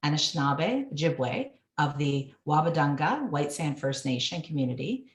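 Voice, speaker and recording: Low-voiced woman hosting in an echoey room. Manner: hesitant, many fillers. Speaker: event host. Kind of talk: introducing a speaker.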